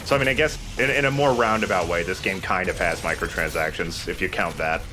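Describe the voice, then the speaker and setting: A man's voice: deep voice, brisk, noisy background. A man hosting a let's play, giving casual commentary while he plays. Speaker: let's play host and player.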